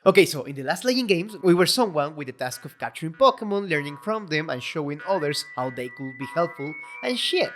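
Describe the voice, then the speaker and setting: Low-voiced lady, not a native english speaker, hyped casual commentary, swings her pitch all over. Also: fairly quick, few pauses. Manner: excitable, swears casually, self-mocking. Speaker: video essay host. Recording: faint background music.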